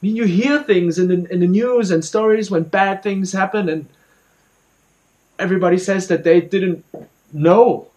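A young man performing a dramatic monologue as an anxious, guilt-ridden student, speaking haltingly and repeating his words. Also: high-pitched, a little mellow, lightly acted. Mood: anxious, uneasy. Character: anxious, guilt-ridden student confiding about a troubled friend.

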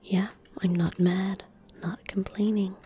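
A young woman gaming streamer in soft-spoken ASMR style, murmuring to herself in a breathy whisper at a close microphone. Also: mid-range voice, little pitch movement, slight background noise. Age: young adult. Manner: unhurried, murmuring to herself as she plays. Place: indoor room at a gaming setup. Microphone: close desk microphone.

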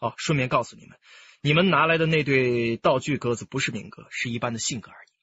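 A man's voice: medium pitch, fairly bright tone, dull audio, lightly acted. An actor playing a composed, candid young male music teacher, speaking politely and carefully in a radio drama. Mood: calm, candid. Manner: polite, measured, explanatory.

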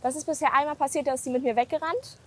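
A woman's voice: sits high, steady tone, very fast, a bit bright. A woman who rides and owns a horse, chatting casually in a plain-spoken, colloquial way outdoors. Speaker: horse owner and rider, client. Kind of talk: casual conversation.

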